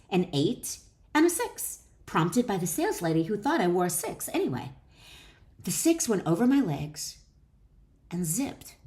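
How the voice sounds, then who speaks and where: A woman's voice: fairly high pitch, a little mellow. A middle-aged woman author reads her own wellness book aloud with chatty asides, encouraging the listener directly.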